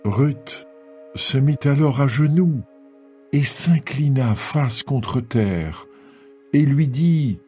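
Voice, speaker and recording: A man narrates scripture with a lively rise and fall, taking his time. The voice is warm and dark, over faint music. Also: medium pitch, very slow.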